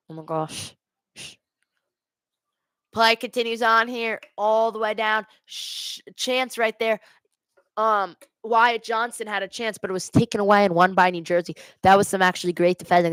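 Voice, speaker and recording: A woman's voice: mid-range voice, clear, resonant tone, no echo. A woman doing live amateur hockey play-by-play and casual chat as host of a watch party, speaking into a headset.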